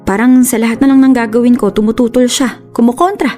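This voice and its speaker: A woman playing an earnest young wife who longs for independence, in Filipino radio drama style with a soft voice. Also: a little high, fairly even intonation, fast talker, faint music behind, natural voice. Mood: hurt, dejected.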